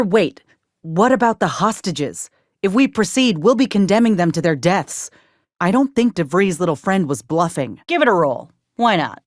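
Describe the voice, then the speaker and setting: A woman's voice: on the low side, no room sound, studio quality. A woman voicing a plainspoken, wry frontier companion in a video game, with a folksy Southern American drawl. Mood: urgent.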